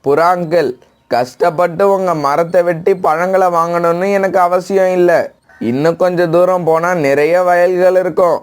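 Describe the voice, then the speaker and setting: Man as a lazy young bird, highish voice. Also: steady tone, moderate pace, lightly acted. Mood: refusing. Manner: dismissive, blunt. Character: lazy, selfish young male bird, curt with elders. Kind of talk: acted children's moral story cartoon.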